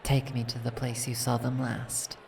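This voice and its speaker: Woman voicing a very deep, regal dragon princess. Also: level tone, gravelly, noisy background. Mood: suspicious, amused. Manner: formal, imperious, measured. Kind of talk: scripted fantasy audio roleplay.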